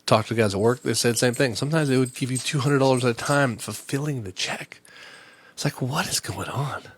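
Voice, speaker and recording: A man recounts a frustrating day in an exasperated, animated rant, acting out each exchange as he goes. His voice sits at a middle pitch, and the recording is dry and close, with no room sound. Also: a little fast, no echo. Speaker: podcast host. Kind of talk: storytelling rant.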